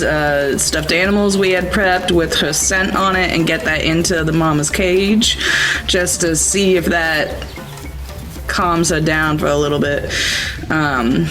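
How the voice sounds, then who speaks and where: A tabletop player thinks aloud in casual, hesitant improvisation. The voice is mid-pitched, and music plays clearly behind it.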